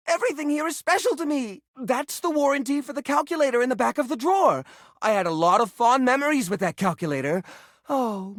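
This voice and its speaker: Whiny, theatrical, wildly expressive hoarder. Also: male voice, very high voice, no echo, studio quality. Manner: theatrical, whiny, defensive, stammering when upset. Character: neurotic, possessive hoarder junk drawer come to life. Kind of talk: video game character voice acting.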